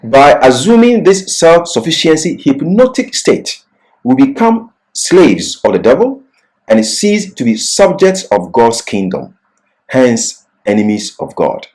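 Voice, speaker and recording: A man delivering a scripted Bible lesson in an earnest, deliberate, emphatic manner. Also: medium pitch, very animated, darkish tone, rough recording. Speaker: Bible teacher. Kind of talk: religious lesson, scripted exposition.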